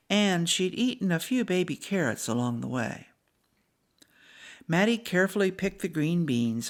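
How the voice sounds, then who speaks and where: A woman reading a scripted children's story as a storybook narrator, in an expressive manner. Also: low voice.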